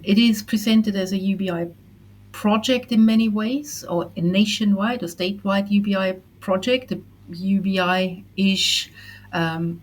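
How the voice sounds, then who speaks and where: Woman chatting on a community call, lowish voice, earnest and upbeat, lots of restarts. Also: a little mellow, poor audio. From not Switzerland. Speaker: community event presenter. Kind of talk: casual online community talk.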